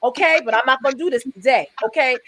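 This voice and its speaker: A woman with a high-pitched voice, hosting a casual talk show and speaking in an emphatic, preachy, rambling way with frequent restarts. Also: fast, pushed and bright, no echo.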